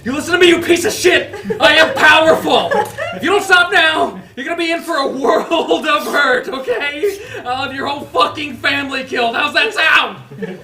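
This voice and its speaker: A man plays a wounded, foul-mouthed man pleading and bargaining for his life in a comedy read. His voice is high and forceful. It is picked up from a distance in an echoey room with faint noise. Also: moderate pace, full character voice. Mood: indignant, shouting. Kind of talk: comedy script table read. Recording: roomy echo, off-mic, faint noise.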